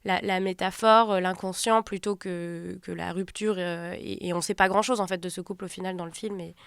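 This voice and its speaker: A woman film director from Turkey, talking about her film in a reflective, halting way and often pausing to find her words. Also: on the low side, steady tone, creaky, fairly bright tone, clear, resonant tone, no room sound, clean studio sound.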